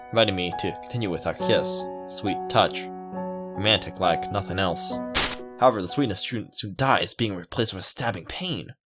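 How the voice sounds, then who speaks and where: A young man hosting a gaming video, reading a visual novel aloud and adding casual commentary, with music over his voice. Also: deep voice. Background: loud game music.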